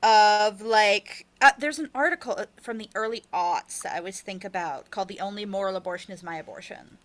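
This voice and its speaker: A young adult woman, an author being interviewed, talking casually in a candid, informal and slightly halting way. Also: medium pitch, no room sound.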